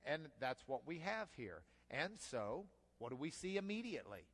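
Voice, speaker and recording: Guy preaching in a church, kinda high voice, folksy and chatty then punches stuff hard, big hall echo. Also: sing-song, normal speed. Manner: folksy, builds to emphatic bursts. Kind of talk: expository sermon, conversational. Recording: long hall reverb.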